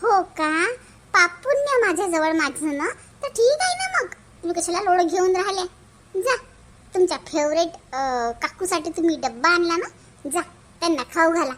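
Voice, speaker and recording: A woman plays a sharp-tongued young daughter-in-law in a cartoon family drama. The voice is extremely high, almost childlike. She argues and scolds defiantly. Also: expressive, normal speed. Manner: argumentative, scolding.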